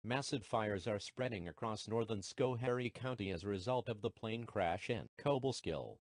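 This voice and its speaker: A man voicing an official emergency alert announcer, formal and measured, reading a scripted broadcast message. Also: fairly low pitch, no room sound, studio quality. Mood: formal, impersonal.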